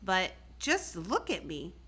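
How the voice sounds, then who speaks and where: A woman's voice: lowish voice, very animated, slow, bright, forceful tone, slightly rough, heavily acted. A woman voicing a polite, self-conscious young schoolgirl in a children's storybook, giving brief replies. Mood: dismayed. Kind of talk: storybook read-aloud.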